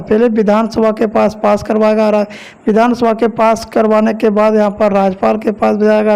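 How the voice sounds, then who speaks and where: Male news presenter, Jharkhand Hindi accent, very creaky high voice, flat formulaic delivery. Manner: repetitive, formulaic delivery with stock phrases. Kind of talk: online news voice-over.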